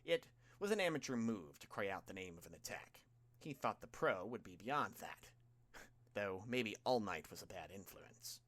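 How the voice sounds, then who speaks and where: Low-voiced man narrating fiction. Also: very animated, moderate pace, a bit bright. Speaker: audiobook narrator. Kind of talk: scripted fiction narration, expressive.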